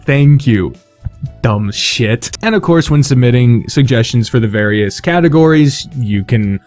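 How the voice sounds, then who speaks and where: A man's voice: medium pitch, lively intonation, measured pace, darkish tone, faint music behind. A man hosting a video in a casual, unscripted monologue, rambling, swearing freely and putting himself down. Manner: sweary, self-deprecating, rambling. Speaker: video host announcing fan awards.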